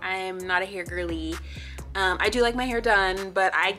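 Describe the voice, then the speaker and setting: A woman's voice: mid-range voice, steady tone, moderate pace, bright, forceful tone, soft background music. A woman in her late twenties from the Pacific Northwest, chatting to camera in a sassy, playful, blunt way. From Pacific Northwest, USA. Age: 20s. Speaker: vlogger. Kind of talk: casual story-time chat to camera.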